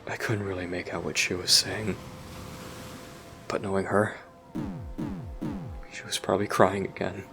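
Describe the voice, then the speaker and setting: An actor playing a brooding, guilt-ridden young person recounting painful memories in a somber, halting audio drama monologue. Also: male voice, low voice, level tone, gravelly, over music. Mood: haunted, somber.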